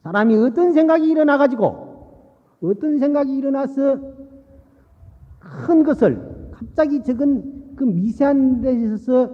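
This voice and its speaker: Male monk delivering a folksy sermon, slightly high-pitched voice, long hall reverb. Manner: folksy, repeats phrases for emphasis, checks in with listeners. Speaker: Buddhist monk giving a dharma talk. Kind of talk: Buddhist sermon with storytelling. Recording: muffled phone-like sound in long-reverb hall.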